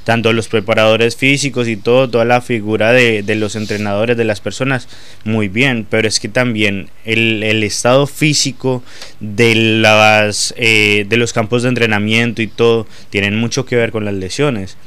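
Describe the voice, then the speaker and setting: Smooth-voiced man from Colombia. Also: on the low side, steady tone, normal speed, a bit bright. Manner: hesitant, restarts phrases, ends with tag questions. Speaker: sports pundit. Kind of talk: radio-style football discussion.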